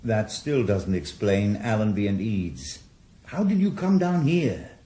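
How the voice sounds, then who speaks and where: A dogged retired detective. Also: male voice, lowish voice, big pitch swings, measured pace, dark tone. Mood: questioning. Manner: blunt and probing, dry humour. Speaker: ex-policeman investigator. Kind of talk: radio drama acting.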